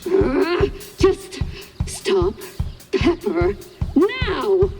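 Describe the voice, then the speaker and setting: A woman's voice: very high-pitched, sing-song, drawn-out words, vocal fry, loud music behind the voice, full character voice. A woman playing a bossy, haughty, exasperated villainess in a cartoon game, theatrical and scolding. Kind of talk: cartoon video game acting.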